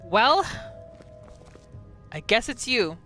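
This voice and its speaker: A woman's voice: on the high side, big pitch swings, very slow, sharp tone, soft background music. A woman gaming host casually narrating her roleplay playthrough in the third person, as a voice-over.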